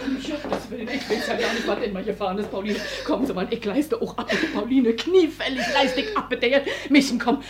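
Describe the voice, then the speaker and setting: An actress playing a domineering, anxious working-class wife in a stage drama, with colloquial speech that swings between wheedling and threats. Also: female voice, fairly high pitch, a little fast, hardly any pauses, a loud crowd, close to an everyday voice. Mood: coaxing. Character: domineering, anxious working-class married woman, coaxing yet menacing. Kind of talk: theatrical naturalist stage drama acting.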